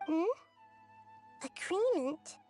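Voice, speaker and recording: A little girl deity for a video game, pitched extremely high. She is gentle and caring, speaking softly with earnest reassurance. Faint music plays behind her. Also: animated, extremely slow, heavily acted. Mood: curious.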